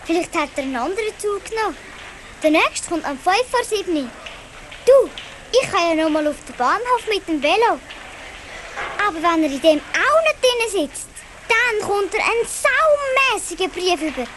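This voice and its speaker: A woman's voice: very high voice, very animated, normal speed. A woman playing a cheeky, confident young girl with a Swiss German accent in an audio drama. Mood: pert, chatty. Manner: pert, chatty, forthright. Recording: noisy station ambience, old cassette.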